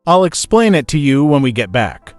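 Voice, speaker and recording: A man narrating an animated story voices a sleazy husband, shouting and flustered. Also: on the high side, moderate pace, no echo, soft background music. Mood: panicked. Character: sleazy panicking cheating husband.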